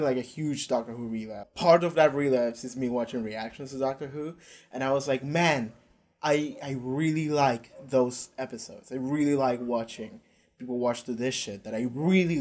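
A man's voice: mid-range voice, darkish tone. Young man, Argentinian accent, laid-back reaction chatter in a small room, headset mic. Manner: rambling, casual, swears often. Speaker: YouTube reactor. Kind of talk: casual reaction commentary. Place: small bedroom with curtains.

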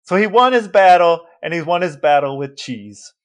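A man's voice: on the high side, moderate pace. Storytelling man, podcast co-host. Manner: animated storyteller, acts out quoted voices. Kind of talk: casual chat.

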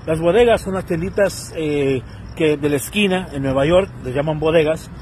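A man's voice: fairly high pitch, normal speed, a little hiss or hum. A man in his thirties with a Mexican Spanish accent, giving a casual, slangy commentary rant to his phone camera outdoors and acting out the people he quotes. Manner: animated, slangy, acts out quoted voices. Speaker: vlogger. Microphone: phone selfie camera.

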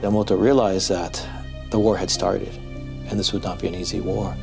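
Gravelly low-voiced male expert, halting delivery. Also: flat delivery. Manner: halting, explanatory. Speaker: history professor, expert commentator. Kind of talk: documentary interview commentary. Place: indoor room, seated interview. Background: music.

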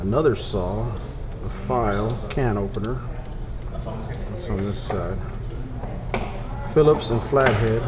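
A man with a lowish voice murmurs and exclaims to himself as he handles items on a shop display. He sounds like he is wearing a camera on his head. Customers chatter around him in the busy store. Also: slow, long pauses. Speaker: vlogger touring a shop. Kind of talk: casual handheld product walkthrough. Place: indoor retail store. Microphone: head-mounted action camera.

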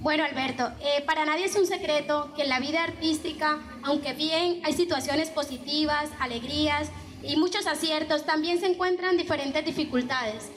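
Young female interviewer, high voice, formal and measured delivery, slight hiss. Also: a bit bright. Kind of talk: reading interview questions. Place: indoor hall. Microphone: handheld microphone.

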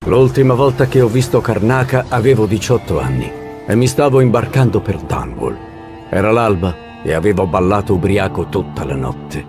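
A man's voice: fairly low pitch, expressive, normal speed. A man playing a wistful swordsman in a narrated game monologue. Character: wistful male swordsman recalling his proud youth. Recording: music behind.

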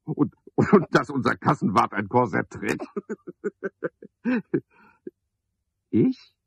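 A man's voice: mid-range voice, lively intonation, unhurried, gravelly, breathy edge, dry recording, heavily acted. An actor playing a self-important, touchy chairman of a mushroom club in a radio play, talking with cajoling pomposity. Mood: defensive, boastful. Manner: pompous, cajoling, one-sided conversation. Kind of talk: 1970s German radio play acting. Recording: dull, band-limited 1970s radio recording.

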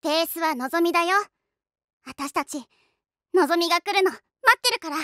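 A high-pitched, stubborn anime schoolgirl. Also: brisk, a bit bright, no echo, clean studio sound. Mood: earnest. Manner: emotional, insistent, childlike plain speech. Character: stubborn, earnest, impassioned teenage high-school band girl. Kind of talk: Japanese anime voice acting.

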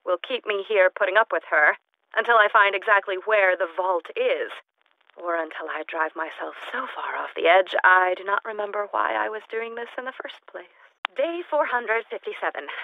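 A woman's voice: mid-range voice, no echo, phone-quality sound. An actress playing an eccentric, unstable woman scientist in a video game, recording lonely logs in formal, wordy, clinically detached speech through a recorder-like radio filter. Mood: clinical, unhinged, then restrained excitement. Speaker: video game character actor. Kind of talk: in-character audio log monologue. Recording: thin, band-limited recorder sound.

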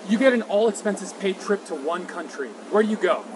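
A man reading out short quick-fire questions as an interviewer. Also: sits high, animated. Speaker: interviewer, host. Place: outdoor city walkway. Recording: echoey, noisy outdoor ambience.

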